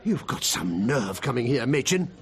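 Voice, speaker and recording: An actor playing a flustered, indignant English barrister in a radio comedy drama, stammering and plaintive. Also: male voice, mid-range voice, big pitch swings, moderate pace, close to an everyday voice. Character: flustered, indignant male barrister, anxious and hapless.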